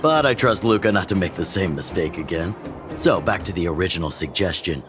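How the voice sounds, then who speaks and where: A deep-voiced, genial older chief. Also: male voice, expressive, brisk, over music. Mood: amused, fatherly. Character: older male underground chief, genial, teasing mentor. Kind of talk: video game dialogue acting.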